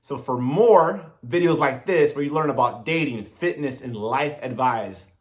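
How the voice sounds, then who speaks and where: A man's voice: medium pitch, measured pace. A man in his thirties giving motivational self-improvement advice straight to his viewers, earnest and direct in a coaching tone. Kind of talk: motivational advice monologue to camera.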